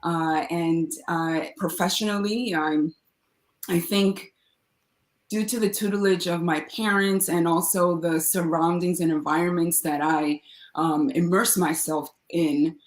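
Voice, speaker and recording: A woman's voice: fairly low pitch, fairly even intonation, measured pace, roomy sound. A woman from the Dominican Republic, a startup founder, speaking as a podcast guest with many fillers and restarts. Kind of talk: casual interview talk.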